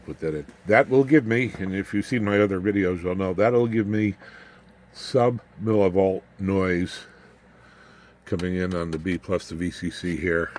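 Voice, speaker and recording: Low-voiced man in a casual tech demo. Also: a little mellow, slight background noise. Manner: folksy, rambling, jokey asides. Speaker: amateur radio electronics video host. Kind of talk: casual off-the-cuff technical demonstration.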